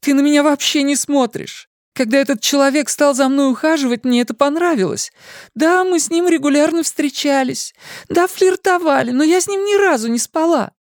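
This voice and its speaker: A distraught young wife, her voice pitched high and strained with tears, as a male narrator puts her on. She pleads and sobs in a storybook reading. The sound is dry and close, with no room around it. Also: normal speed, dry recording. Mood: sobbing defensive.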